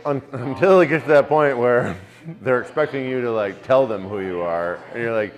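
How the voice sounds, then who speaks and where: A man in his forties, a former football player co-hosting a casual sports podcast, joking around and calmly breaking down game plays step by step. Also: mid-range voice, normal speed. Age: 40s.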